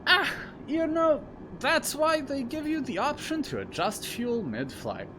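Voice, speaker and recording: Male streamer, grew up in Serbia, Serbian native, slowish delivery, rambling with fillers, self-deprecating, heavy background hum. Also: extremely high pitch, sing-song. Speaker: streamer flying a flight simulator. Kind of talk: casual live-stream commentary. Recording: loud constant background hum.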